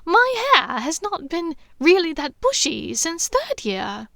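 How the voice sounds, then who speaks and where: A bright, indignant young woman pitches up high and squeaks out her protests, as a woman narrator puts her on. The sound is dry and close to the mic. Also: takes it slowly, creaky, no echo, heavily acted. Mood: lively. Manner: high, lively, protesting with a laugh.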